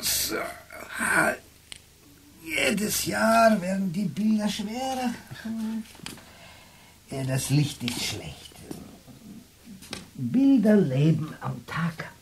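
An actor playing a whimsical old shopkeeper in a radio drama, speaking halting, murmured in a husky, creaky voice. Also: male voice, high-pitched, sing-song, a little hiss or hum, heavily acted. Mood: wistful, murmuring. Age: older. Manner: halting, murmured phrases with repeated 'ja'. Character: whimsical old junk-shop keeper, sly and wistful.

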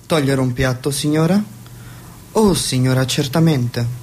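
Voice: mid-range voice, measured pace, a little mellow, a little hiss or hum, lightly acted. An actor playing a deferential, dryly wry household servant in a radio play, speaking formally.

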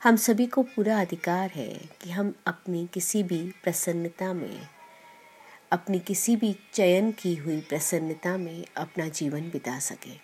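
A woman podcast host reading a short story in a measured, deliberate storyteller's delivery. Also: on the low side, a little mellow. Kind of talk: scripted storytelling narration. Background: background music.

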